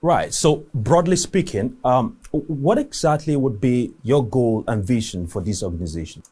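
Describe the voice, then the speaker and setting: Man, show host, formal interviewer, measured delivery, husky, animated pitch. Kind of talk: scripted intro and interview questions.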